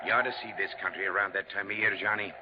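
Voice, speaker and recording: A male actor plays an older American country father, folksy and plain-spoken, fond of a nip. His lowish voice rambles in a homespun way, in the style of 1950s radio drama, on a muffled recording with clear background noise. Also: steady tone, racing, close to an everyday voice. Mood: folksy, wistful.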